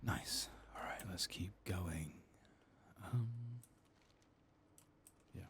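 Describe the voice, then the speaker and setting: A man giving soft-spoken ASMR gameplay commentary, murmuring quiet asides and often slipping into a whisper. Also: sits low, drawn-out words. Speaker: host of a gameplay channel.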